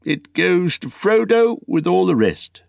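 A man's voice: sits high, sing-song, measured pace, creaky, a little mellow, no echo, clean studio sound, pitched above the performer's own voice, heavily acted. A man voicing a fussy, wistful, elderly hobbit in a storybook, with polite, rambling, exclamatory speech. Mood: rueful. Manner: polite, rambling, exclamatory old-fashioned English.

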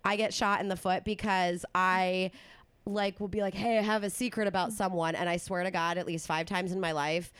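A woman hosting a casual comedy podcast with a loud voice, often half-yelling and laughing as she talks. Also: on the low side, fairly even intonation, moderate pace, smooth, dry recording. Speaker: podcast host.

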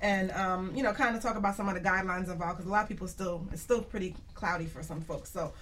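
A woman's voice: on the low side, fairly even intonation, quick pace, a bit bright, slight background noise. A woman hosting a radio talk show in an informal, conversational chatting style. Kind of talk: casual talk show chat.

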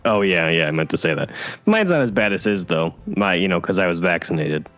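A man playing the guest in improvised comedy banter, deadpan and matter-of-fact, congested with a cold. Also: low voice, a little fast, soft background music.

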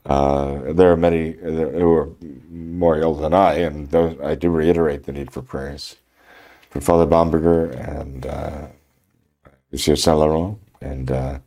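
Gravelly low-voiced older priest. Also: male voice, steady tone, mellow. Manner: slow, halting, many fillers. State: mild head cold. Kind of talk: unscripted radio talk.

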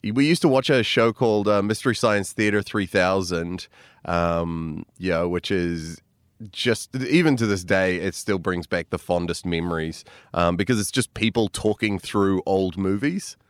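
Rambling New man, low smooth voice. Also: unhurried, no echo, studio quality. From New Zealand. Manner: rambling, self-deprecating, lots of fillers. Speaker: podcast guest, comedian. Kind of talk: casual interview chat.

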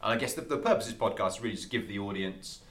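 A man hosts a podcast in a fairly low voice. He is jokey and teases his guests, laughing easily as the chat rolls on. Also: rapid-fire. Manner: joking, teasing, laughs easily. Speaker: podcast host.

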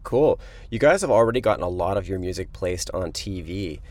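A man's voice: low voice, expressive, fairly quick. A man hosting a music interview show, asking questions in a casual radio style. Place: inside a parked tour van.

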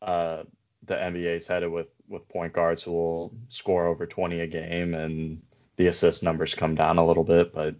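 A man hosting a casual basketball podcast, his voice hoarse and worn, talking in a hesitant, low-key way with many pauses and restarts. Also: completely flat delivery, darkish tone. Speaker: basketball draft podcast host; coach. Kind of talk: casual sports analysis chat. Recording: slightly dull lo-fi audio.

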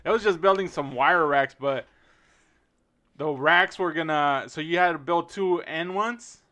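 A soft-spoken man chatting casually, relaxed, using slang and swearing. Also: fairly high pitch, a little slow. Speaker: podcast co-host, tech worker. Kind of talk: casual chat.

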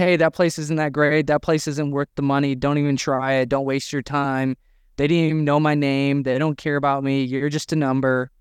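A man's voice: on the high side, clean tone, no echo, clean studio sound. A man co-hosting a podcast, talking about running a business in an emphatic, conversational way. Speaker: podcast co-host. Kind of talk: conversational business coaching talk.

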